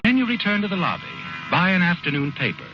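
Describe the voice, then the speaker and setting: Muffled vintage radio recording, ominous male narrator, insinuating second-person storytelling, fairly high voice. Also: very animated, fast, over music. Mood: ominous, knowing. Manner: insinuating, addresses the listener as the guilty one. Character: knowing, ominous male narrator of a crime tale.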